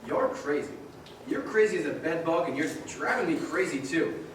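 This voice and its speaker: A man's voice: a little high, lively intonation, moderate pace, natural voice. A man acting a frantic, bewildered family man in a stage radio play, agitated and pleading. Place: live stage in a large echoing hall. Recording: echoey hall from far off, noisy.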